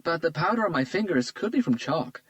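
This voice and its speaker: A man voicing a playful young woman, high and bright. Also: animated, fairly quick, dry recording, natural voice. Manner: playful, teasing.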